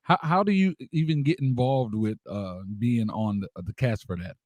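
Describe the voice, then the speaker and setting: A man's voice: medium pitch, moderate pace, darkish tone, no echo. A man hosting a radio interview in a casual, slangy, exclamatory hype style. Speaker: radio show host.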